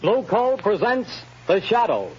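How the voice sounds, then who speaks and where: A man announcing in the crisp, declamatory style of 1930s radio, his voice placed fairly high. The recording is muffled and lo-fi. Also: sing-song, measured pace, music in the background.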